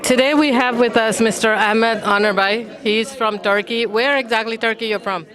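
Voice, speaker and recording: A woman's voice: a little high, steady tone, normal speed, crowd noise. A woman hosting interviews at an event, chatty and finishing her guests' sentences. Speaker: host. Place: consulate reception hall.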